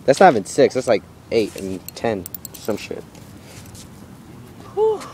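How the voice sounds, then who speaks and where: A man's voice: mid-range voice, huge pitch swings, takes it slowly, dark tone, slight background noise. A young man filming a fishing trip with friends, joking crudely and laughing on a handheld camera outdoors. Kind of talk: casual joking chat. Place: lakeside park outdoors, windy. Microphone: handheld phone camera.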